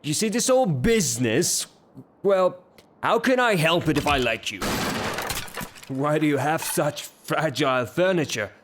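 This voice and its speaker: An actor playing a blustering, lovestruck, hot-tempered gentleman landowner in an audio drama, with a halting, theatrical delivery. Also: a little high, animated, slight background noise. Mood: flustered, smitten. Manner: theatrical, halting, repeats himself.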